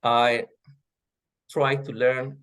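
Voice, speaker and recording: A middle-aged man whose first language is Persian speaks in English as an interview guest. He answers in measured steps, stopping often on fillers and starting words over before finishing a thought. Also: mid-range voice, little pitch movement, a crowd in the background. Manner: hesitant, many fillers and restarts. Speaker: guest, associate professor of linguistics.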